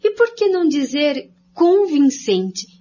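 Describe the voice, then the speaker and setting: A woman's voice: sits high, big pitch swings, takes it slowly, dark tone, slight background noise, exaggerated voice. An actress playing an earnest woman expositor in a scripted radio drama, laying out points one by one in a didactic way. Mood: explaining, assured. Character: woman researcher Jacira, earnest, didactic study-group expositor. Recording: dull, lo-fi recording.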